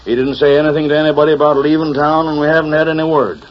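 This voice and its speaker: An actor playing an earnest, flustered office clerk in a 1940s radio western. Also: male voice, on the high side, flat delivery, racing, lightly acted. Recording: dull, noisy 1940s radio recording.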